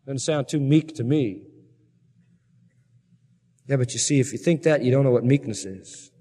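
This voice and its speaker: A man's voice: medium pitch, moderate pace, dark tone. A man preaching an expository sermon, reading scripture and retelling it in an emphatic, colloquial, dramatic storytelling way. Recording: reverberant hall sound.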